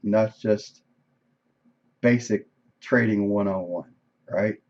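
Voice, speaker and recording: Hoarse male trader talking through charts. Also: on the low side, steady tone, slow pace, a little mellow, dull audio. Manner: conversational, thinking aloud, frequent "right?". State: losing his voice, coughing. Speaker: day trader reviewing a live session. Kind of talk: casual screen-share trade recap. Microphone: desk microphone.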